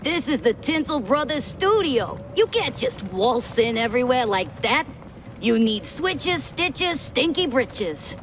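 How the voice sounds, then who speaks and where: A woman's voice: sits high, very animated, unhurried, a little hiss or hum. A woman playing a cheeky, folksy guide in a cartoon game, with a playful drawl and slangy way of talking. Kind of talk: cartoon video game acting.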